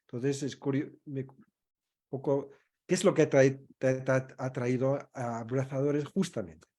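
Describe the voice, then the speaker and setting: A man with a mellow, dark voice moderates an online meeting. He restarts his questions and trails off as he speaks, at a middling pitch. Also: level tone, measured pace.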